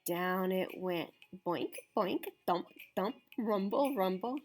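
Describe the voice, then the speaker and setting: A woman reading a children's picture book aloud as a storytime reader, with expressive, dramatic, deliberate phrasing. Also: medium pitch, faint music behind.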